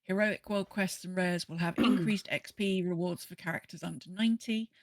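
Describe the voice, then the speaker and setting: A woman's voice: lowish voice, moderate pace, no echo. Woman, dry close podcast sound. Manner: chatty, explanatory. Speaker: podcast co-host. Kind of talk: casual gaming podcast chat.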